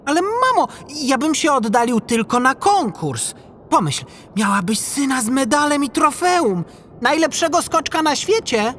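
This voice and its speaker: A woman's voice: high voice, very animated, measured pace, a bit bright, a little hiss or hum, full character voice. A woman playing an eager, stubborn young boy humpback whale in an audiobook, pleading and childlike. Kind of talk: audiobook character acting.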